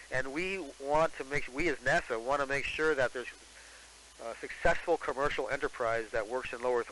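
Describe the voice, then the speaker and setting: A man's voice: medium pitch, a bit bright. A man speaking as an astronaut over a ham radio transmission, answering questions in a procedural, explanatory way. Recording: hissy, noisy ham radio reception. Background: radio hiss.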